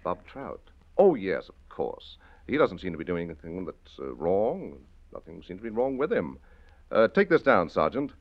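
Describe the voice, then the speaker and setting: An actor playing a dry, precise detective who is puzzled and wry, in a 1940s radio play. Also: male voice, low-pitched, big pitch swings, moderate pace, exaggerated voice. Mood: bemused. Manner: wry, precise, faintly bemused. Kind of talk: 1940s radio drama acting. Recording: dull old radio recording.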